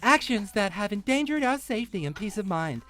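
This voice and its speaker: A man's voice: high voice, big pitch swings, a little fast, fairly bright tone, soft background music. A man doing a mock dramatic reading as a pompous, melodramatic fundraiser, pleading like a politician on the stump. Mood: earnest, grandiose. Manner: overblown, politician-like speechifying. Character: pompous, melodramatic crowdfunding appealer pleading for justice.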